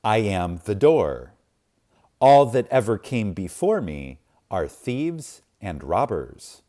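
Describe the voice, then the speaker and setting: A man reading a spiritual book aloud in a solemn, reverent manner, with deliberate pauses and emphasis. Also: on the low side, dark tone. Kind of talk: reverent scripted reading, audiobook-like.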